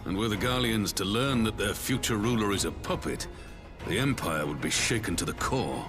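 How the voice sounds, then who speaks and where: A man's voice: lowish voice, expressive, normal speed, music in the background, lightly acted. A man playing a grave, thoughtful council leader in a video game cutscene, speaking formally. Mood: considering.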